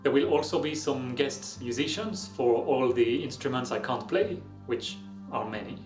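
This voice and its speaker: A man's voice: mid-range voice, moderate pace, reverberant. French-accented man explaining his music. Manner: self-deprecating, wry humor. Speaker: musician and video host. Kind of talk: casual explanatory vlog narration.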